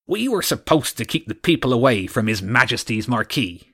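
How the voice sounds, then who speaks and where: A man's voice: mid-range voice, sing-song, moderate pace, pushed and bright, slightly rough, full character voice. A man voicing a dim, eager male seagull guard who chatters fussily and anxiously tries to please his master. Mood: grumbling.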